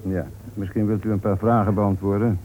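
A man plays a dryly polite, probing police inspector in a radio drama. He speaks low, in a measured, insistent way. The recording is narrow and tinny, with noise underneath. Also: level tone, close to an everyday voice. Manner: measured, insistent, tags questions with "hè". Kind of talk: radio play acting. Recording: tinny, noisy old radio play recording.

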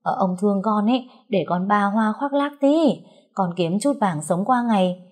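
Woman narrator playing a cheeky bluffing young fortune-teller, husky voice. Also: mid-range voice, normal speed, darkish tone, lightly acted. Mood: scared, wheedling. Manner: boastful, colloquial, wheedling.

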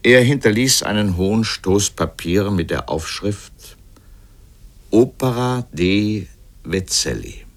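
Low-voiced man narrating a literary radio piece. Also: expressive, slow pace. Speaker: narrator reading a radio essay.

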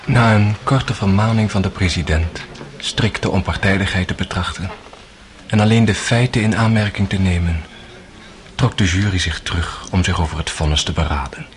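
An actor playing a man who narrates a trial he witnessed, in a measured, sober radio play style. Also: sits low, close to an everyday voice. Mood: sober, recounting. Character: male friend of the accused, narrating the trial.

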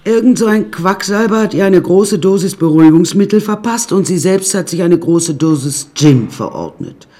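A woman's voice: lowish voice, lively intonation, moderate pace, few pauses, mellow, close to an everyday voice. An actress playing a wry woman who recounts her death-strewn childhood in a radio play, dry and confiding. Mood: darkly comic. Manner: dry, darkly comic, confiding.

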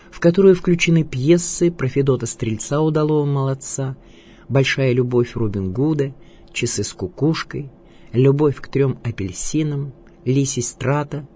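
A man reading scripted radio documentary narration in a measured, declamatory way. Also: mid-range voice, a little mellow, slight background noise.

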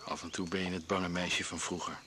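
An actor playing a calm, reassuring and gently practical husband in a naturalistic Dutch radio drama. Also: male voice, low-pitched, fairly quick, noisy background, lightly acted. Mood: gentle, practical. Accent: Dutch (Netherlands).

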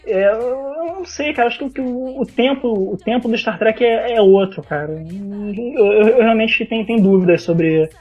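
A man with a Brazilian accent in a casual podcast chat, often doubling up words and starting over in a halting way. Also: high voice, slightly rough. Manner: halting, repeats words emphatically. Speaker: podcast panelist. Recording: dull lo-fi voice track.

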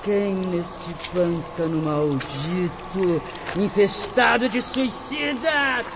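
An actor playing a bitter, tormented male spirit in a cursed swamp, mocking and shouting in despair. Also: high voice, very slow, gravelly, heavily acted. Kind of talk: radio drama acting. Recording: dull lo-fi audio with noise.

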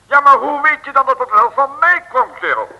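Blustering high-voiced army colonel. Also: male voice, big pitch swings, moderate pace, muffled recording, heavily acted. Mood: outraged. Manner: barking, impatient, commanding. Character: irascible, blustering male army colonel giving orders. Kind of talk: old Dutch radio serial acting. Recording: old radio recording, long reverb.

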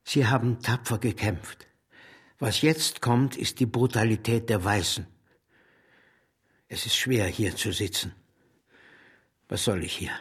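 Husky, defiant revolutionary leader. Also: male voice, lowish voice, fairly even intonation, measured pace, pauses often. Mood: weary, firm. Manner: declarative, resolute. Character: defiant, unbowed male communist revolutionary leader. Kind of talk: German radio drama acting.

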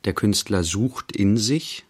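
An actor playing the narrator of a literary radio play, telling an artist's day in measured, short phrases. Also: male voice, lowish voice, breathy edge. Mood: measured narrating. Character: narrator recounting an artist's day in present tense.

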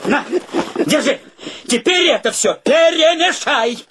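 A male actor playing a sarcastic, excitable bachelor with a mocking, blustering manner in a radio play. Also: very high-pitched, takes it slowly, heavy background noise, exaggerated voice. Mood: flustered, exasperated. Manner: mocking, exclamatory, theatrical. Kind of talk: Russian radio play acting.

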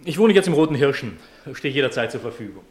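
An actor playing a brisk, formal travelling merchant with clipped speech in a 1950s German radio drama. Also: male voice, medium pitch, very animated, close to an everyday voice. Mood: brisk, businesslike.

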